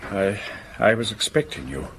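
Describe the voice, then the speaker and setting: An actor playing an anxious, discreet government official in a radio drama, speaking formally and hesitantly. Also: male voice, lowish voice, big pitch swings, normal speed, gravelly, background noise. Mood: uneasy, formal.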